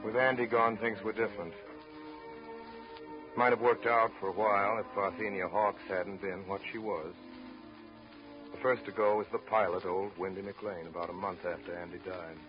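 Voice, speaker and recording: A man's voice: on the low side, little pitch movement, normal speed, over music, lightly acted. A man narrating a 1930s radio drama as a grave, reflective storyteller. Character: deep-voiced male storyteller, grave and reflective. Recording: muffled 1930s radio recording.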